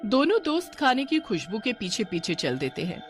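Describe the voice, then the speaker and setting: Expressive woman narrating a cartoon tale. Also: fairly high pitch, moderate pace, soft background music. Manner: expressive storytelling. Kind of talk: storybook narration.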